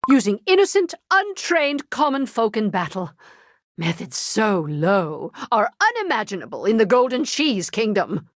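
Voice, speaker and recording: A woman's voice: medium pitch, sing-song, measured pace, no room sound, studio quality. A woman voicing a proud, protective queen in a video game, speaking with regal, dramatic indignation. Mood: regal outrage. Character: proud, imperious, protective queen of a golden kingdom. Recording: voice over loud game music.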